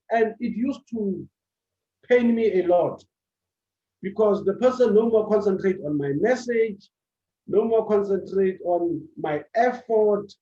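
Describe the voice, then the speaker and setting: High-voiced man giving a slow, emphatic speech. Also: darkish tone. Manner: short emphatic phrases with repetition. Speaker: public speaking club speaker. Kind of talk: motivational speech. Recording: echoey room, dull sound.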